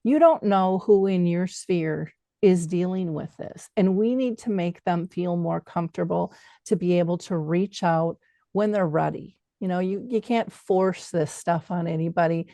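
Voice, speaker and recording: A woman's voice: lowish voice, mellow, no echo. A woman talking conversationally as the host of an interview show.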